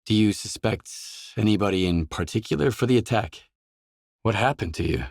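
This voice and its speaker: An actor playing a gruff, guarded, hard-bitten mercenary in a video game, speaking in terse, blunt, businesslike lines. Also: male voice, on the low side, expressive, moderate pace, no echo, studio quality. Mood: inquisitive, guarded.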